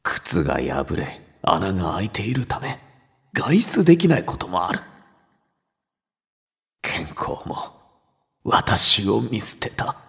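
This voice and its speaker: A proud, suffering composer in a radio drama. His delivery is slow and declamatory, with a gravelly creak, and it rings in a long reverb over music. He is theatrical and defiant. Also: low voice, sing-song, fairly bright tone, full character voice. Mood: anguished, weary.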